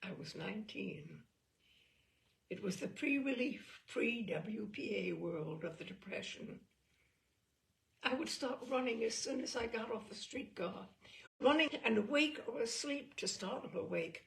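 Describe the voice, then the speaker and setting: A woman reading a literary short story in the first person, measured and expressive, dwelling on phrases. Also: fairly high pitch, vocal fry.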